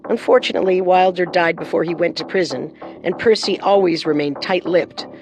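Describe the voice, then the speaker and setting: A woman's voice: fairly low pitch, fairly quick, a little mellow, rough recording. Serious woman narrating true crime over music. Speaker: co-host narrator.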